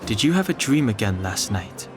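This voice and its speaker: A man's voice: lowish voice, big pitch swings, normal speed, over music. A man voicing a cool, reserved, practical young adventurer in an anime game. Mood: composed.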